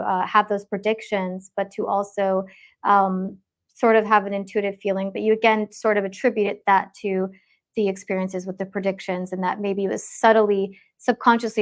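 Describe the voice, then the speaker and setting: A woman guest in an online discussion, speaking hesitantly and thoughtfully with many fillers, over a video call. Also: mid-range voice. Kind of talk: casual discussion.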